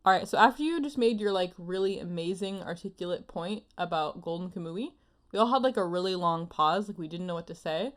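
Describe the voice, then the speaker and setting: A woman's voice: fairly low pitch, brisk. A woman co-hosting a casual anime podcast, speaking expressively with emphatic delivery. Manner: expressive, exasperated emphasis, laughs readily. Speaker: podcast co-host. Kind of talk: casual chat about anime.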